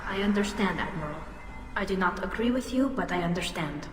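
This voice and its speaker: A woman's voice: mid-range voice, animated, fairly quick, close to an everyday voice. Woman as an earnest alien engineer, echoing hall. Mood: restrained. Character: earnest young female alien engineer, loyal, defensive. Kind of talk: video game dialogue. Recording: echoey hall reverb, loud music behind.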